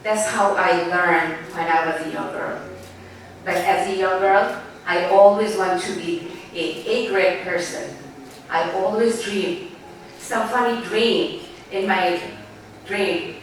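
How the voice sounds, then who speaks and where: Bangladeshi woman speaking in an echoey hall. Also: on the low side. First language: Bengali. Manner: halting, heartfelt, loose grammar. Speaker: newspaper editor giving a speech. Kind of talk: formal event speech. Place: large banquet hall. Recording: echoey hall, distant mic, faint room noise.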